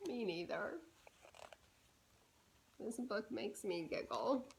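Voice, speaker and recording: A woman reading a storybook aloud to young children, animated and deliberate, pausing to put questions to her listeners. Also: mid-range voice, vocal fry, slight background noise.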